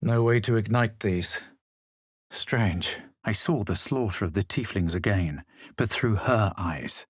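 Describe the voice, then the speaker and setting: A haughty young adventurer for a fantasy video game. His voice sits low with a slightly rough edge, and the recording is dry. He delivers his lines with measured, superior, dramatic aloofness. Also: no room sound. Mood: brooding. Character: haughty young adventurer hero, male, self-assured and superior. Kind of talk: video game character lines and storytelling narration.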